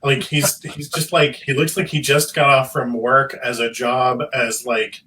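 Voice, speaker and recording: A man's voice: medium pitch, little pitch movement, unhurried, sharp tone, a little hiss or hum. A man chatting on a podcast panel, giving wry, comic descriptions filled with "like". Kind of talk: casual chat. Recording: distant mic.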